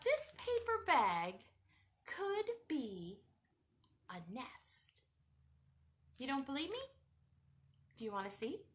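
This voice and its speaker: A woman hosting a children's craft lesson at home, talking straight to young viewers in an animated, sing-song way. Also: sits high, a little hiss or hum. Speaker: children's show host. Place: home room with a cat nearby.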